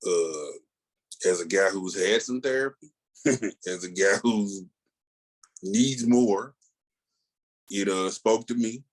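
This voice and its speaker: A man's voice: on the low side, slow pace, long pauses, poor audio. A man co-hosting a podcast in casual chat, with a laid-back, slangy, colloquial way of talking. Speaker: podcast co-host.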